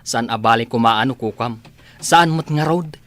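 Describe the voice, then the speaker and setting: Male actor as plain-spoken Ilocano family man, grumbling, mid-pitched, radio drama dialogue. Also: normal speed, fairly bright tone, lightly acted. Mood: disapproving. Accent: Ilocano Filipino.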